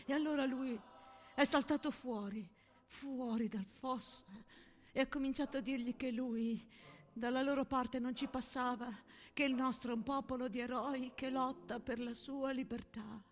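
An actress playing a haunted young soldier of the First World War, recounting horrors in an intense, intimate theatrical monologue with dramatic pauses. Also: female voice, high voice, steady tone, close to an everyday voice. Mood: hushed, confiding. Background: accordion music.

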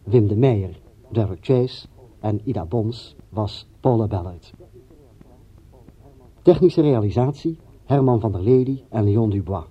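A man with a husky voice reading a formal, scripted announcement as a radio announcer. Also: on the low side, expressive, a little slow, long pauses, mellow. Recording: dull, band-limited old radio recording.

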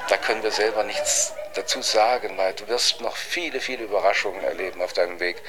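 A man's voice: fairly low pitch, fairly quick, background noise, lightly acted. A man as kindly, worldly-wise flamingos. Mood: kindly advising. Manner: kindly, advising. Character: flock of friendly, worldly-wise pink flamingos. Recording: music playing behind the voice.

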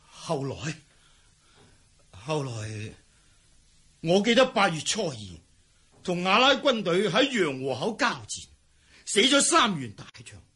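A man's voice: sits high, big pitch swings, takes it slowly, pauses often, pushed and bright, breathy edge, exaggerated voice. A man playing a cowardly, self-serving fleeing palace eunuch in a historical radio drama, stammering and sighing as he talks. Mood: weary recounting.

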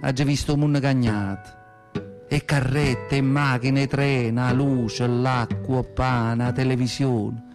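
A man's voice: mid-range voice, level tone, unhurried, over music, lightly acted. An actor playing a nearly hundred-year-old, plainspoken, feisty peasant mother in a theatrical radio monologue, with a southern Italian accent and folksy, emphatic storytelling. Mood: wistful, subdued.

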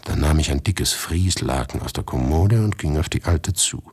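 An actor playing a morbid, harried writer who narrates his grim predicament deliberately and dryly in a radio drama. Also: a bass voice, expressive, a little mellow, husky, dry recording, heavily acted. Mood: grimly matter-of-fact. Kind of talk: literary radio drama monologue, first-person narration.

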